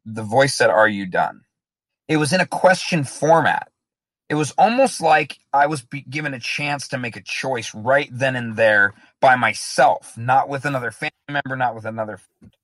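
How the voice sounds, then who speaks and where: A man's voice: mid-range voice, moderate pace, fairly bright tone, dry recording. Candid man telling his story, dry sound. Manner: candid, reflective, plain-spoken. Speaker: guest in recovery. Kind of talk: personal storytelling in an interview.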